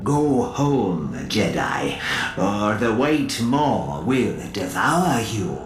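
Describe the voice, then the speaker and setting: An actor playing a threatening male pirate in a video game, with a menacing, drawn-out delivery. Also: fairly low pitch, big pitch swings, heavily acted. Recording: echoey space, music behind.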